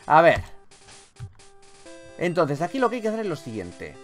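Male gamer-host, Spain Spanish accent, slightly high voice, very lively pitch, colloquial and expressive, loose with swearing. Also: normal speed, faint music behind. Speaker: gaming YouTuber, host. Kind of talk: casual let's-play commentary.

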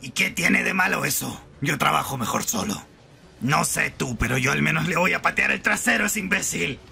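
Man doing explosive teen boy hero, anime, arrogant, forceful, rough-edged, aggressive. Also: a little high, animated, moderate pace, soft background music, exaggerated voice. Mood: irritated. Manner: aggressive, insulting.

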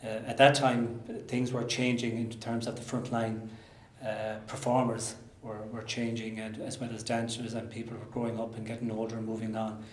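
An Irish man in his forties giving a seated interview indoors as a director, with hesitant, often-paused speech. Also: fairly low pitch, completely flat delivery. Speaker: pantomime director. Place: indoor room. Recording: echoey room, faint background noise.

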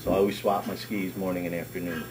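A middle-aged man with a fairly low voice walks a small group through a hands-on demonstration. He talks in a relaxed, chatty way and often repeats words as he works. The camera mic picks up his voice in a wood-paneled workshop, with a steady hiss of noise underneath. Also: quick pace. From United States. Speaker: ski school director. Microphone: camera mic on a workbench.

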